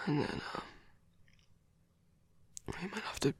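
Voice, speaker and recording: A performer voicing a wounded, protective villain in an intimate ASMR roleplay drama, murmuring haltingly in a breathy, husky half-whisper. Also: dry recording, natural voice. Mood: weakening, tender. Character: wounded villain, gruffly protective, teasing, tender toward a hero.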